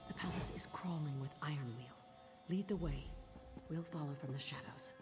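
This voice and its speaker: Game role: determined crew-leading thief female actor, deep for a woman, music underneath. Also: moderate pace, natural voice. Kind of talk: video game character acting. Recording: music behind the voice.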